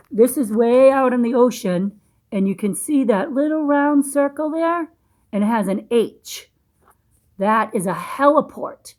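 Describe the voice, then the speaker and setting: Woman with a kinda high voice reading a picture book to little kids, teacher-like, stops to explain and ask stuff. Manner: teacherly, pausing to question and explain to child listeners. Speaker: children's storybook reader. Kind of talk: read-aloud with simple explanations for young children.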